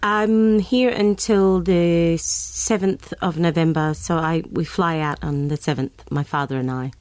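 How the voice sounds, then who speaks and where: A woman's voice: lowish voice, steady tone, measured pace, darkish tone, no room sound. An Australian woman, a guest singer, chatting in an interview, hesitant, with many ums and restarts. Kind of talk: casual interview chat.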